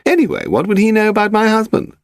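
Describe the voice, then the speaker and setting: A man's voice: sits high, wildly swooping pitch, fast talker, vocal fry, dry recording, studio quality, voice pushed up, exaggerated voice. A man voicing a haughty, pedantic English aristocrat, a frail lady in her eighties, in an upper-class accent for an audiobook story. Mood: indignant, rambling. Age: 80s. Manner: pedantic, grand, derisive, demanding. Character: imperious frail aristocratic old lady of eighty-five, malicious.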